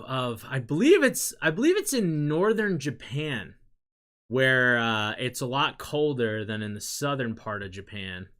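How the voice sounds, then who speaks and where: A young man talking casually to his audience, a loose rambling ramble that drifts into quieter muttered asides. His voice sits at an ordinary mid pitch. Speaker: streamer chatting with viewers.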